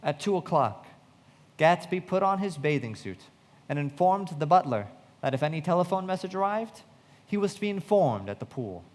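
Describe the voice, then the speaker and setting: Reflective narrator, slightly high voice. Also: male voice, big pitch swings, normal speed, darkish tone. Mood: reflective, sombre. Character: reflective, loyal young man narrating a tragic memoir. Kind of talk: dramatic scripted narration in live radio play. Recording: reverberant hall.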